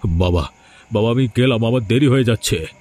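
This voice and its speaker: A man's voice: medium pitch, expressive, a little fast, a little mellow, natural voice. A man voicing an agitated, selfish villager in a cartoon, dramatic, pleading and defiant, fearful and vengeful. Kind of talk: scripted cartoon dialogue. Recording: music under the voice.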